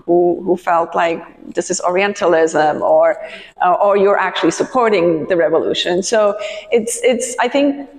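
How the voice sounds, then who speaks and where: Woman curator, gallery talk, creaky voice, chatty with many ums, long hall reverb. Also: fairly low pitch, normal speed. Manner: conversational, many ums and restarts. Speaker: curator leading a tour. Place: large museum gallery.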